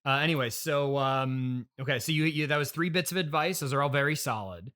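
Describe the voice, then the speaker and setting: A man hosting an improvised comedy interview, speaking in a halting, awkwardly polite, stammering way. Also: medium pitch, bright, forceful tone. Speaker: podcast host and interviewer.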